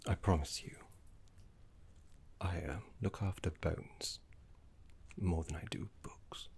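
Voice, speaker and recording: A man voicing a gentle, fussy, scholarly older necromancy teacher in a soft ASMR roleplay, breathy and half-whispered, with polite, hesitant phrasing. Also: sits low, steady tone, full character voice. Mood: apologetic, soothing. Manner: polite, hesitant, slow and deliberate.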